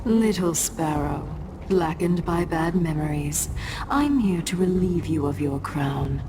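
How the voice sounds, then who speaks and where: A woman's voice: low-pitched, animated, slow pace, noisy background. An actress playing a menacing, coldly sweet usurping witch empress in a video game, speaking with imperious, theatrical deliberation. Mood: menacing, grand. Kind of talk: dramatic video game acting. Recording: music behind the voice.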